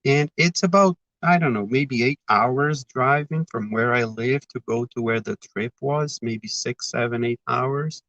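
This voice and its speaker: A man's voice: mid-range voice, unhurried, few pauses, a bit bright, highs cut off. A man from Brazil with a Brazilian Portuguese accent, giving a reflective, digressive spiritual talk as a spiritist speaker. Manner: digressive, thoughtful, self-aware about repeating himself.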